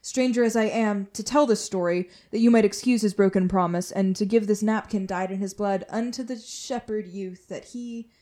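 A woman playing an earnest young gentleman in a Shakespearean radio play, speaking measured verse. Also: mid-range voice, natural voice. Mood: grave narrating. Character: Oliver, young gentleman, earnest reformed elder brother.

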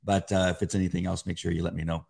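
Very deep-voiced rambling male co-host. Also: little pitch movement, rapid-fire, vocal fry, darkish tone, slightly rough. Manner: rambling, stops and restarts, chuckles. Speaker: podcast co-host. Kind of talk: casual live-stream chat. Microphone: desk microphone for streaming.

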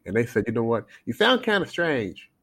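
A man's voice: mid-range voice, sing-song, moderate pace, bright, forceful tone, husky. A man in his forties with a North American accent, telling his story conversationally as a podcast guest. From Canada, then United States from age eight. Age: 40s. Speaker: life coach, podcast guest.

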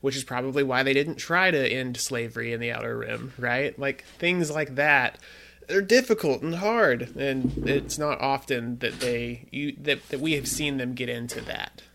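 A man on a podcast panel in casual discussion, halting and repeating words as he thinks. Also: medium pitch, vocal fry, noisy background.

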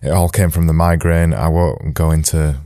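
Man, hoarse from a sore throat, very deep, mellow tone, dry close mic, rambling solo podcast. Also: steady tone, normal speed, dry recording. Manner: rambling, candid, self-deprecating. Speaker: podcast host. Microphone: boom-mounted studio mic.

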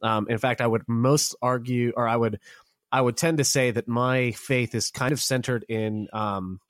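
A man's voice: medium pitch, fairly even intonation, moderate pace, no echo, studio quality. A young man from the United States, a podcast guest talking in a reflective, casual way with frequent hesitations. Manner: reflective, hedging with ums. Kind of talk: casual conversation.

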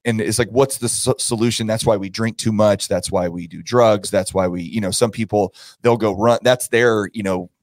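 A man's voice: fairly low pitch, normal speed, hardly any pauses, no room sound, clean studio sound. A middle-aged American man hosting a podcast, talking casually and rambling as he thinks aloud. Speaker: podcast host. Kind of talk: casual conversational interviewing.

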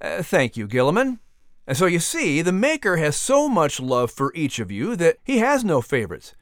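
A man's voice: a little high, wildly swooping pitch, normal speed, no room sound, studio quality. A man who narrates audiobooks, doing scripted announcing as a podcast host.

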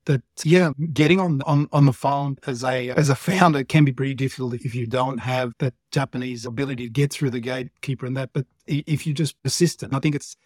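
A man as a guest speaker on a casual panel, talking haltingly and repeating words. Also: mid-range voice, no echo, clean studio sound.

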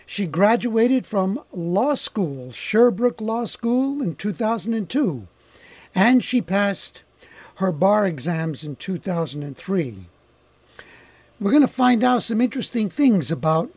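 High-voiced male talk show host. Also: a little mellow, no echo. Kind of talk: formal guest introduction and interview.

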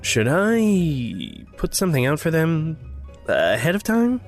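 A man playing an earnest, awkward, supportive magic user in audio fiction, with a hesitant, gentle manner. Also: fairly high pitch, wildly swooping pitch, slow, exaggerated voice. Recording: music under the voice. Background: music.

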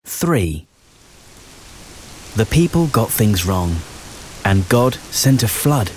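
A man narrating a rhyming children's bedtime story in a measured storytelling delivery with deliberate pauses. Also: on the low side, sing-song, background noise. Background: festive music and sound effects.

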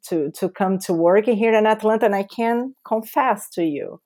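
A woman from Brazil with a Brazilian Portuguese accent, a podcast guest talking haltingly with many fillers. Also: medium pitch, a little mellow. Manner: slow, halting, with frequent fillers. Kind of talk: podcast interview.

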